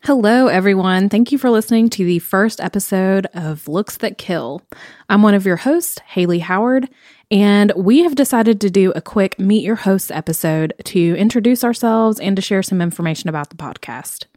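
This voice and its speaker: A woman's voice: medium pitch, animated, moderate pace, a little mellow, no echo, clean studio sound. A woman in her late twenties from Tennessee, co-hosting a podcast in a chatty, upbeat way with frequent ums. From Knoxville, Tennessee. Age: 20s. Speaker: podcast co-host. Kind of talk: casual podcast chat.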